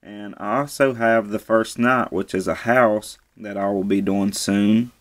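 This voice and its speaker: A man casually reviewing a toy set at home, relaxed and easygoing, often repeating how cool it is. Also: lowish voice, measured pace. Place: indoors at a table.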